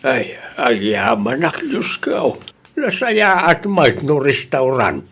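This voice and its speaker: A man's voice: mid-range voice, wildly swooping pitch, slow pace, fairly bright tone, breathy edge, exaggerated voice. A man with an Ilocano Filipino accent playing a stern, indignant old village father in an audio drama. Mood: indignant, grumbling. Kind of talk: radio-style audio drama acting.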